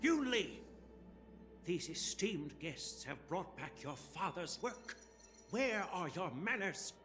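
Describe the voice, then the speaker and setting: Elderly general, game: ceremonious, proud, animated melody, clear music underneath. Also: male voice, high-pitched, exaggerated voice. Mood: stern. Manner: ceremonious, measured. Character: dignified old grandfather general, stern yet proud. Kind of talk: video game voice acting.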